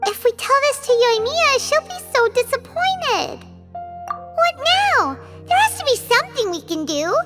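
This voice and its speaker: A woman voicing a tiny, excitable, childlike fairy companion in a video game, chatty and earnest, in an exclamatory way. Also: extremely high pitch, unhurried, exaggerated voice. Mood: dismayed. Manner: speaks of herself in the third person, exclamatory. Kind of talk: video game character dialogue. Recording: music behind the voice.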